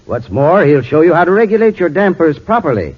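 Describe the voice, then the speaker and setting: A male spokesman delivers a vintage radio advert in a slightly high, very animated voice. His manner is folksy, courteous and persuasive, and the old recording sounds dull. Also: fairly quick, slightly rough. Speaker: advertising spokesman, home heating expert. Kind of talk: scripted 1940s radio advertisement.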